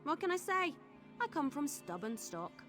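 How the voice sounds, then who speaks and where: An actress playing a stubborn, adventurous scout companion in a video game, talking in a wry, confident manner. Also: female voice, high-pitched, very animated, normal speed. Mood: wry, upbeat. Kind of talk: video game character acting. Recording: game music under the voice.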